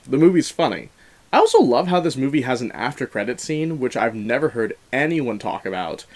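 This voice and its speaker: A man with an average-pitched voice hosts a film review show. He speaks casually and with enthusiasm, laying out his opinions in a chatty manner as he addresses his viewers.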